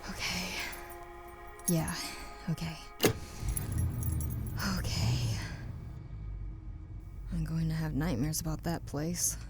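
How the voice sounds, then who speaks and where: A woman's voice: sits low, over music. An actress playing a young woman lost in a haunted corn maze, wary and then frightened, in a radio play. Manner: wary and frightened, quick exclamations. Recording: clear background noise and ambience.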